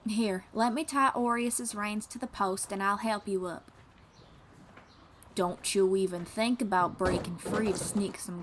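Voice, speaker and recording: Woman acting an unhurried, encouraging young female bard. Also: mid-range voice, a little hiss or hum. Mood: playfully scolding. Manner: encouraging, gently instructive, folksy. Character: kind, patient young woman bard teaching horse riding. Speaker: voice actor in an audio roleplay. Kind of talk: audio roleplay acting, speaking to the listener.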